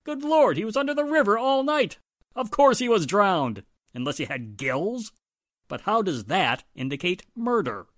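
Man playing a blustery inspector, wildly swinging pitch. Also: big pitch swings, normal speed, a bit bright, no room sound, studio quality. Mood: incredulous. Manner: spluttering, emphatic, incredulous. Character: blustery, exasperated male police inspector. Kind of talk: 1940s-style radio drama acting.

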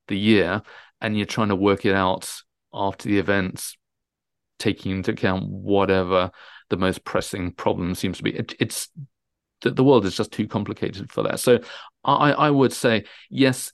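A man speaking as an expert guest in an interview, emphatic, often repeating and rephrasing his words haltingly. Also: fairly low pitch, gravelly, dry recording, clean studio sound. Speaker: transfer pricing specialist, interview guest.

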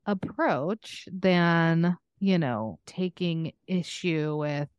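American woman in her 30s, lowish smooth voice, rambly podcast chat, dry sound. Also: drawn-out words, no room sound, highs cut off. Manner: chatty, rambling, often laughing. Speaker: podcast co-host. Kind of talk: casual chat.

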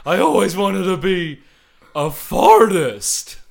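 A man voicing a cheeky talking cartoon bottom in a loud, strained, high voice. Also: lively intonation, takes it slowly, creaky, exaggerated voice. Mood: pleading.

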